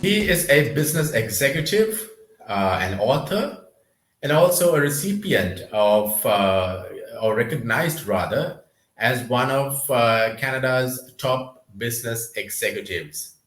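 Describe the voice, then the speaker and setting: A man hosting an interview show, speaking in a halting way with many filler sounds as he introduces and questions his guest. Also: medium pitch, lively intonation, fairly bright tone, soft background music. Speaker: podcast host. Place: Adelaide. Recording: roomy echo.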